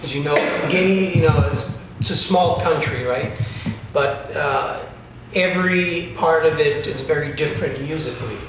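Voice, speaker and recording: A man's voice: a little high, normal speed, few pauses. A man from Italy who teaches drumming, explaining things casually with many fillers. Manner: chatty, explanatory, lots of fillers. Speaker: drum teacher. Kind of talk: casual interview answers. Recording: echoey room, distant mic, noisy.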